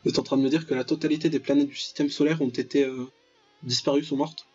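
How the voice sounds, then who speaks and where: A man's voice: mid-range voice, fairly even intonation, fast, darkish tone, husky, lo-fi sound, faint music behind. A young man giving casual, slangy let's play commentary, with mock-dramatic, exclamatory reactions. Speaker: gaming video host.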